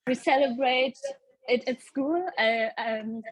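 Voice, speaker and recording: A woman's voice: on the high side, slow, dry recording, rough recording. A woman giving an informal explanatory presentation on a video call. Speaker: club member giving a presentation.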